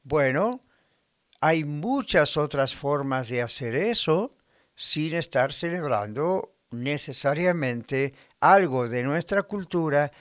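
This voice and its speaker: A man's voice: fairly high pitch, lively intonation, slow pace, no room sound, clean studio sound. A man from Argentina preaching a sermon in a conversational way, full of rhetorical questions and imagined dialogue. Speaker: pastor.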